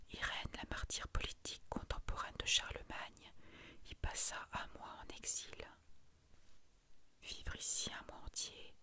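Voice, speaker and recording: A narrator whispering a literary short story in a soft, measured, breathy ASMR bedtime reading.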